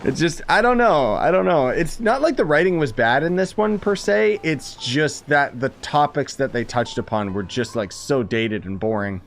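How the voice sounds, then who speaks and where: A man's voice: fairly high pitch, expressive, normal speed, gravelly, hardly any pauses. A man gives casual, blunt, grumbling commentary as a gaming streamer playing through a game.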